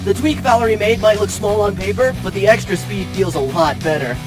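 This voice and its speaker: A man's voice: sits high, a little fast, lightly acted. A man playing a cocky, upbeat young male mech pilot in an anime-style game, breezy and playful. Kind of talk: anime-style visual novel voice acting. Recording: music behind the voice.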